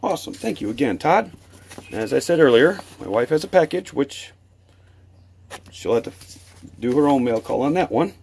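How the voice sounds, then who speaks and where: An American man, a hobby vlogger with a husky voice, chatting casually and appreciatively to viewers as he shows things on camera. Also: mid-range voice, lively intonation, normal speed, pauses often, a little mellow, slight background noise. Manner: enthusiastic, appreciative. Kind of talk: casual chat to camera while opening mail.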